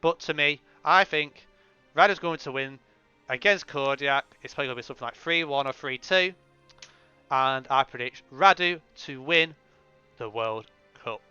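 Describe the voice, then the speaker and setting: A man's voice: medium pitch, normal speed, bright, forceful tone, clean tone, dry recording. A man giving casual spoken predictions as a video creator, hedging and restarting, his voice worn from recent illness. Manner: chatty, hesitant restarts, hedging opinions. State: recovering from illness, voice going. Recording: slightly dull lo-fi sound, faint music.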